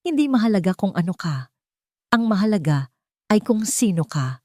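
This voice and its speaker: A woman voicing an earnest, tender wealthy man in a romance audio story, speaking with slow, intimate emotion. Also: mid-range voice, sing-song, mellow, no room sound, studio quality. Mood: loving, intimate.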